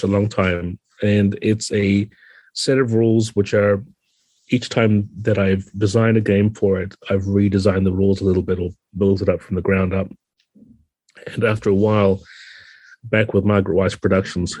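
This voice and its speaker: A man's voice: lowish voice, darkish tone, no echo. A man speaking as a guest game designer in a podcast interview, explaining things in a conversational way.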